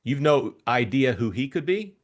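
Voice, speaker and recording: A breezy young gentleman adventurer in a classic mystery audiobook, voiced by a man. He is chummy, jaunty and slangy, with very animated pitch. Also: moderate pace, natural voice. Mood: teasing, speculative.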